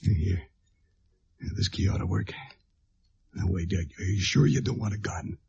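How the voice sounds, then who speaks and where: An actor playing a gruff, folksy male lawman in charge of a raid in 1950s-style radio drama, giving orders with authority. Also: low-pitched, moderate pace, darkish tone, exaggerated voice. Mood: businesslike, wary. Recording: dull, slightly noisy vintage radio recording.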